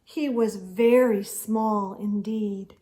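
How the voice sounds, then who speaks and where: A woman storyteller reading a children's book aloud expressively, with deliberate phrasing and dramatic emphasis. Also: mid-range voice, steady tone, warm tone.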